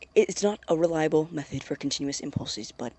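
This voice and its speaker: An actor playing an obsessive scientist in an audio drama, dictating a log in a clinical, precise but halting husky voice. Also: male voice, on the high side, lively intonation, a little mellow. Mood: clinical, preoccupied. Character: obsessive male scientist logging unethical experiments on tape.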